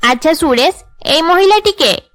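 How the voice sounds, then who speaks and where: A woman voicing haughty, scheming young women in a cartoon drama, with an imperious, sharp-tongued way of talking. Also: extremely high pitch, lively intonation, unhurried, full character voice. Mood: haughty, demanding. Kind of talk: Bengali cartoon drama dubbing.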